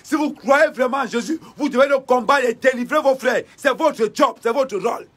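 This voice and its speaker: A man giving an impassioned denunciation in a repetitive, preacher-like cadence with shouted bursts. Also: very high voice, moderate pace.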